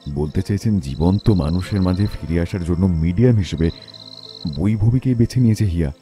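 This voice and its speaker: A man's voice: deep voice, moderate pace, mellow, faint music behind, close to an everyday voice. An actor playing a haunted, guilt-ridden doctor in an audio horror drama, stammering and repeating his words. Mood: stunned, uneasy.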